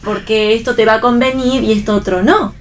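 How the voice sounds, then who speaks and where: A woman's voice: steady tone, brisk. An Argentine woman explaining things casually as a panelist in a radio discussion. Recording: faint background rumble.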